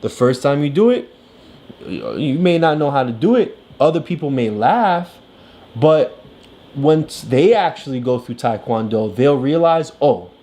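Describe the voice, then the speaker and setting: A woman's voice: a bass voice, sing-song, unhurried, warm tone. A woman talking a child through a picture book, encouraging and lecturing, often checking in with "right?". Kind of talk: casual coaching talk about a story.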